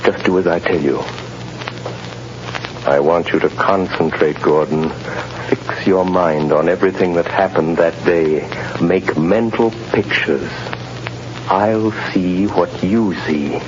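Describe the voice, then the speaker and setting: Mysterious intense vigilante, old radio drama, very low voice, dull muffled recording. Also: male voice, noisy background. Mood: urgent, mysterious. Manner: deliberate, imperative, dramatic. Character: mysterious commanding male mind-reading vigilante, urgent and intense. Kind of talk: 1930s-40s radio acting.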